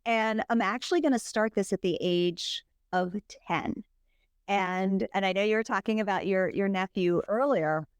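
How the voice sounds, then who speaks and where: Woman, podcast guest, smooth clear voice, bubbly storytelling, dry sound. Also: mid-range voice, moderate pace, no echo. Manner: bubbly, laughs often. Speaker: podcast guest, health coach. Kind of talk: casual interview chat.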